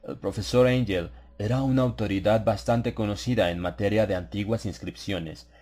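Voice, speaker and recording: Male audiobook narrator, low voice, solemn, measured, dramatized horror storytelling. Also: a little mellow.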